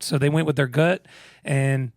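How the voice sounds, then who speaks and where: A man's voice: mid-range voice, steady tone, measured pace, no echo, studio quality. A man with a naturally scratchy voice, a musician guest chatting laid-back on a radio show and laughing easily. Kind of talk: radio interview chat.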